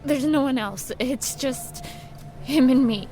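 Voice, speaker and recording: High-voiced, earnest young granddaughter. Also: female voice, slow, mellow, soft background music. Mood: anxious, sad. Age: young adult. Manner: earnest, concerned. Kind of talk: audio drama acting. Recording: clear background noise.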